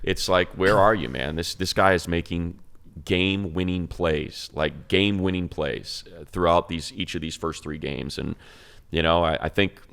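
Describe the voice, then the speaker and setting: A man's voice: deep voice, steady tone, normal speed, creaky, a bit bright, clean tone. A man giving casual sports podcast commentary as a host, conversational and emphatic, repeating phrases for stress. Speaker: sports show host and analyst.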